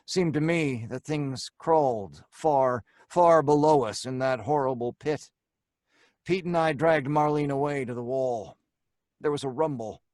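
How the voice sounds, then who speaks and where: Actor as Stanley, hard-boiled radio crook, dry sound. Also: male voice, medium pitch, unhurried, a little mellow, no room sound, lightly acted. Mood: dread. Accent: American. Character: Stanley, hard-boiled male crook narrating his own doom. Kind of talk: old-time radio horror acting and first-person narration.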